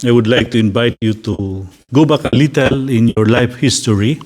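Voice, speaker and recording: A man with a Filipino English accent, a priest leading a slow, gentle guided reflection for a gathering. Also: medium pitch. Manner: slow, deliberate, gently inviting.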